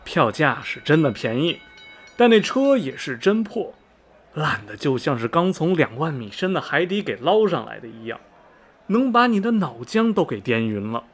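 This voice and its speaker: A man's voice: fairly high pitch, sing-song, normal speed. A man playing a chatty, folksy middle-aged ticket clerk in a radio drama, rambling and exclaiming colloquially. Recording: faint background noise and ambience.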